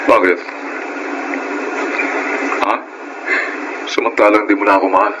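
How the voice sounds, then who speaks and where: An actor with a Filipino accent playing a bitter, volatile, troubled husband, accusing and confrontational, in a radio melodrama. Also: male voice, on the low side, level tone, unhurried, noisy background, lightly acted. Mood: bitter, cold.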